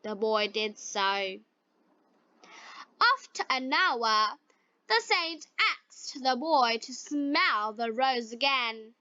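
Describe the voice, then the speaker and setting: Very slow, high-pitched woman narrator. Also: animated, sharp tone, no room sound, lo-fi sound. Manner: deliberate, short separated phrases. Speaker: narrator of stories for English learners. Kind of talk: slow scripted story narration for beginners.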